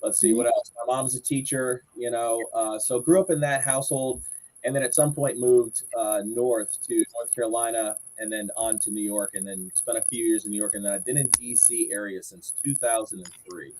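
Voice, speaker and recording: A man's voice: mid-range voice, fairly even intonation, moderate pace, darkish tone, poor audio. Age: 40s. Sex: man. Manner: rambling storyteller. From Southwest Florida, United States. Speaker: podcast guest. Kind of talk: casual chat.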